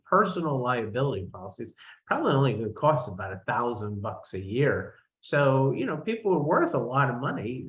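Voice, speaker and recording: Man in his sixties, slightly dull recording. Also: mid-range voice. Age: 60s. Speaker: financial advisor. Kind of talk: informal explanatory financial talk.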